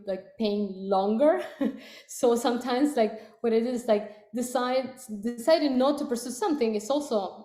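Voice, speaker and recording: A woman's voice: fairly high pitch, a little mellow. Spanish-accented woman in an echoey room. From Latin America. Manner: hesitant, frequent fillers and restarts. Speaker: product leader. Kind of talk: panel conversation.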